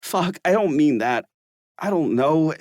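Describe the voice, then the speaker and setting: A man voicing a volatile, distraught young male tennis pro in an audiobook, yelling and swearing in anguish. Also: fairly high pitch, animated, a little slow, dry recording, studio quality, voice pushed up. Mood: remorseful.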